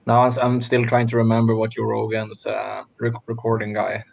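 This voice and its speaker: Young Swedish-accented man, gravelly, flat, laid-back podcast co-host, lo-fi sound. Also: lowish voice, brisk. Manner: laughs often, hesitant with fillers. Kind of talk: casual chat.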